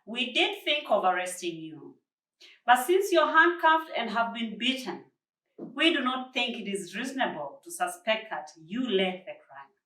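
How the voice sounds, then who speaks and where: A woman reading the part of a patient, firm policeman in a children's storybook, measured and emphatic. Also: on the high side, sing-song, fairly bright tone, voice pushed up. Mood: patient, explaining. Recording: roomy, distant microphone.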